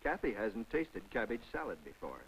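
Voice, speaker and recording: A man's voice: on the low side, big pitch swings, moderate pace, a little hiss or hum, rough recording. A man narrating a scripted 1950s public information film in a folksy, instructive way, with conversational asides to the viewer. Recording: vintage 1950s film soundtrack.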